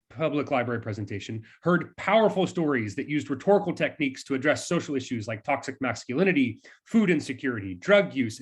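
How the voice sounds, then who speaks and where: A man co-presenting as a lecturer, reading a scripted talk in a formal, measured storytelling manner. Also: mid-range voice, slightly rough.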